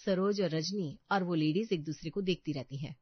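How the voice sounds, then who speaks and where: A woman's voice: fairly low pitch, moderate pace, breathy edge, no echo, lo-fi sound. A woman reading a scripted story as narrator.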